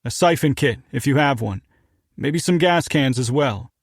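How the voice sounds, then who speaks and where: A man voicing a weary young American man who speaks earnestly and calmly to talk his way out of danger. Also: mid-range voice, moderate pace, breathy edge, no echo, studio quality. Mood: pleading. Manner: earnest, placating. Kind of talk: audiobook character voice.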